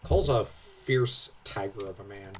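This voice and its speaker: A man's voice: lowish voice, a little slow, a little mellow, recorded from a distance, a little hiss or hum. A man giving casual analytical commentary as a co-host, explaining his opinions.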